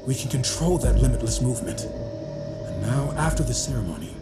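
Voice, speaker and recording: A man playing a grave mystic in an audio drama, speaking in solemn, measured, cryptic phrases. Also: medium pitch, animated, lightly acted. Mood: solemn, mysterious. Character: grave mystic man explaining an ancient blood ritual. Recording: dramatic music behind the voice.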